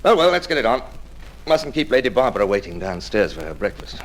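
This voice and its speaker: Low-voiced, grumbling British sea captain. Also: male voice, very animated, quick pace, heavy background noise. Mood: grudging. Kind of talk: 1940s-50s radio drama acting. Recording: vintage radio drama recording.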